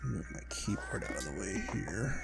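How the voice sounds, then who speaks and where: Gravelly-voiced man, getting over a cold. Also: low-pitched, normal speed, over loud music. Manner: rambling, filler-heavy, relaxed. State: recovering from illness, sniffly, clearing his throat. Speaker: gaming channel host. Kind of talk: casual let's-play commentary.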